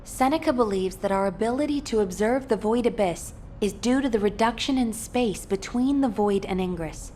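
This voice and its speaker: A composed actress-played female mission commander from a video game delivers a serious briefing at a measured, formal clip. Her medium-pitched voice sits over a faint rumble of background noise. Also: dark tone, natural voice. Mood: grave, businesslike. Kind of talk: scripted game briefing dialogue.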